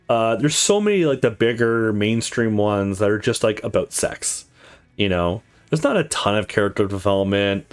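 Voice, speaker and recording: Animated male let's player over music. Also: fairly low pitch. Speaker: let's play host. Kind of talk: casual game commentary and read-aloud of visual novel text. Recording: music under the voice. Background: game music.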